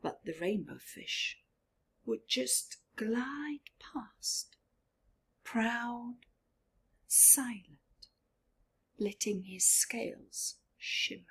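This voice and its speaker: Slow, higher-pitched woman storyteller. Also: vocal fry, slightly rough, whispered. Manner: expressive, deliberate, questioning the listeners. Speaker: children's storyteller and teacher. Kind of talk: read-aloud story narration for children.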